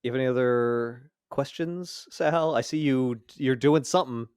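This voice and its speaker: A man's voice: mid-range voice, normal speed, darkish tone, clear, resonant tone, no room sound, clean studio sound. A man co-hosting a podcast, chatting casually and jokingly about geek culture. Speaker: podcast co-host.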